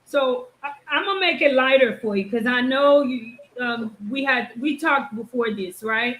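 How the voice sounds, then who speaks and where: A woman's voice: high voice, moderate pace, a bit bright, reverberant, rough recording. A woman hosting a casual live interview, asking her guest pointed questions. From Haitian, born in the United States. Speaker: host.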